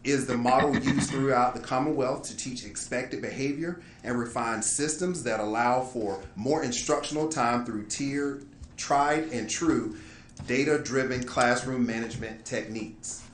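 A man presents a prepared report in a formal, careful reading manner. His voice has a medium pitch and rings in a roomy meeting space, with faint noise underneath. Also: measured pace. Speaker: school district administrator presenting a report. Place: indoor meeting room, public board meeting. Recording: roomy echo, light background noise.

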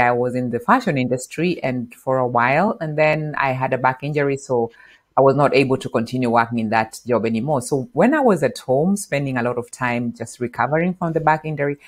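A middle-aged woman from Kenya, a podcast guest, explaining marketing in an animated interview on a lapel mic in a home office. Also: rumbling low, brisk. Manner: animated, gestures while explaining. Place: bright home office with bookshelf.

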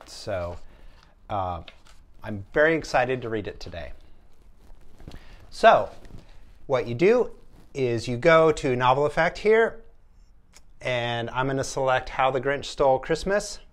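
A middle-aged man doing an animated, theatrical read-aloud of a children's story. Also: medium pitch, slow pace, pauses often. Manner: expressive, theatrical. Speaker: storyteller, app sound designer. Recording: music behind the voice. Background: music and sound effects from a story app.

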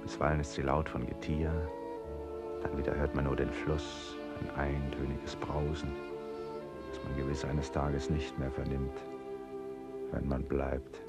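A brooding, anxious male traveller with an extremely low voice. He narrates his journey in a literary radio play. Music runs clearly behind the words. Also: completely flat delivery, measured pace. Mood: somber, reflective. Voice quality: very low-pitched. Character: anxious, reflective man recounting his jungle travels.

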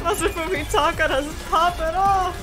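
A woman reacting live and casually to a video game in a group, exclaiming and swearing excitedly. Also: very high voice, normal speed. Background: video game music.

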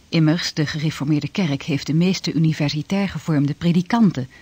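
A learned narrator in a radio drama. His fairly high, husky voice delivers formal scripted exposition in a dry studio sound. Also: a little mellow, no echo.

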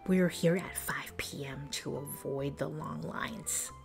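A woman narrating a food review in a soft, breathy whisper, calm and descriptive. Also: deep voice, takes it slowly, music in the background. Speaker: food vlogger.

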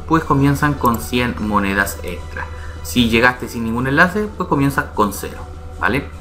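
A man's voice: mid-range voice, bright, forceful tone. A man hosting his channel, casually explaining a website step by step and checking in with his viewers as he goes. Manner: explains step by step, often asking "¿ya?" and "¿vale?". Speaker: YouTube channel host. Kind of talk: casual screen-recorded tutorial.

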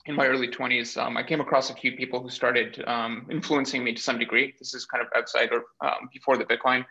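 A man in his thirties from Bulgaria, explaining his background in a measured way with many pauses, speaking as a guest over a video call from a small room. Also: mid-range voice, level tone, gravelly, bright, forceful tone. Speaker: guest, startup cofounder. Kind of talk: remote interview. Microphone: webcam or laptop mic on video call. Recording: dull lo-fi video call audio.

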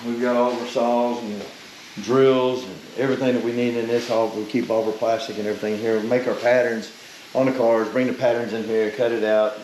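Shop owner, 50s, relaxed and rambling, mid pitch, roomy garage sound with noise. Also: male voice, fairly quick. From Tennessee, US. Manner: easygoing, rambling, chuckles often. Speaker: custom interior shop owner. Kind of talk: casual shop tour explanation. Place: large indoor garage workshop. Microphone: host's handheld camera. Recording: echoey garage with background noise.

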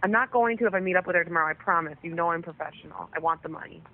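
A woman's voice: fairly low pitch, racing, vocal fry. A woman chatting casually on a phone call, often swearing as she talks. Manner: frequent swearing. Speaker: caller on a jail phone call. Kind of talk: casual chat. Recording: noisy narrowband telephone line.

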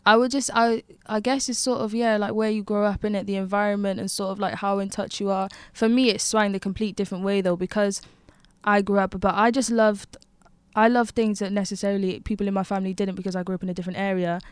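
A woman's voice: mid-range voice, level tone, fast talker, smooth, no echo. A young woman, talking frankly and animatedly as a rapper guest in a studio lounge, into a handheld mic. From Wales. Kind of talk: casual conversation. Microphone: handheld microphone.

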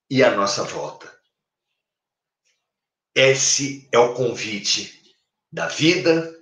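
A Brazilian man in his fifties gives a spiritual talk over a webcam, with a sweeping preacher's cadence. His voice is bright and rises and falls widely at an unhurried pace. The room around him is echoey. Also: mid-range voice. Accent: Brazilian Portuguese. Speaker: spiritist speaker. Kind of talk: spiritual talk on a live stream. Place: home room, indoors. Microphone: webcam on a video call.

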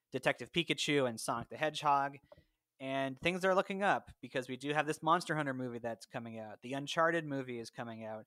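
A man's voice: mid-range voice, fairly bright tone, dry recording. A man hosting a podcast in a conversational style on a close mic. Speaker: podcast host. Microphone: close personal mic, remote recording.

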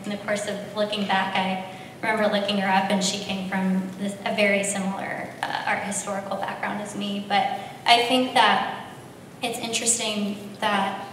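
A woman's voice: medium pitch, flat delivery, moderate pace, fairly bright tone, a little hiss or hum. A young woman on a panel telling a personal story earnestly with frequent fillers, holding a handheld mic in a large brick-walled gallery. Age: young adult. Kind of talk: panel discussion. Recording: echoey hall from far off.

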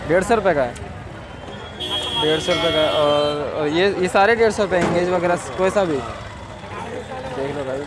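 A man's voice: a little high, moderate pace, long pauses. A man, chatting casually to his viewers as a vlogger. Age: 20s. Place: outdoors, selfie intro and open-air street market. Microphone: handheld camera or phone at arm's length.

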